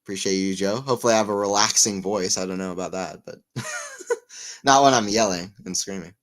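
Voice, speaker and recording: A man giving live tennis play-by-play on a stream, chatting with viewers and getting excited on big points. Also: low-pitched, fairly quick. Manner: excitable on rallies, rambling and self-mocking between points. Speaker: tennis watchalong commentator. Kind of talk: live play-by-play and casual chat.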